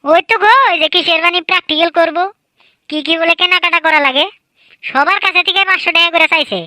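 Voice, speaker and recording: A woman as a bright, high-pitched scheming village boy. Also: steady tone, fast talker, no echo. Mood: pleading, dutiful. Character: sly, boastful, lazy teenage village son. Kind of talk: comedy cartoon voice acting.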